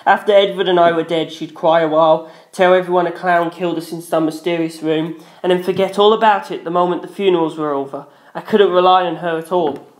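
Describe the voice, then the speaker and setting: A man's voice: fairly high pitch, steady tone, brisk, few pauses. A man reading a first-person story aloud as a dramatic narrator. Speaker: audiobook-style narrator. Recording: roomy echo.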